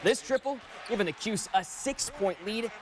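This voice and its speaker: High-pitched man reading punchy sports news. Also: expressive, normal speed, background noise. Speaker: sports reporter and anchor. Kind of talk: scripted sports broadcast narration.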